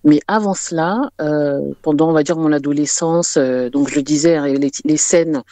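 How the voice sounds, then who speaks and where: A woman's voice: deep voice, moderate pace, warm tone, dry recording. Young French woman, dry close sound. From France, Paris region. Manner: chatty storytelling. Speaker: interview guest, singer. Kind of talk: conversational interview answers.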